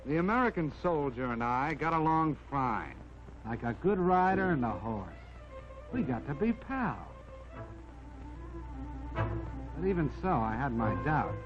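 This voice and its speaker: A man's voice: on the high side, big pitch swings, a little slow, pauses often. A man voicing a modest, plucky army jeep that tells its own life story in a folksy, wry 1940s film narration. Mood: determined, modest. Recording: dull vintage 1940s film soundtrack, music behind.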